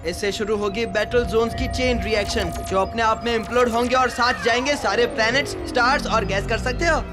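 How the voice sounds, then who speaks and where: Very high-pitched cartoon analyst. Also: male voice, fairly quick. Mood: serious, explanatory. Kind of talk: animated cartoon dubbing. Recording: music behind voice.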